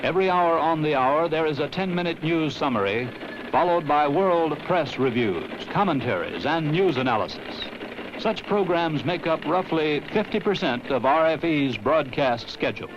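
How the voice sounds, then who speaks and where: Higher-voiced American newsreel narrator, man, vintage sound. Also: expressive, background noise. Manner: grave, emphatic, measured announcer delivery. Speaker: documentary narrator. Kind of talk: 1960s promotional newsreel narration, scripted. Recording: dull vintage film soundtrack with music.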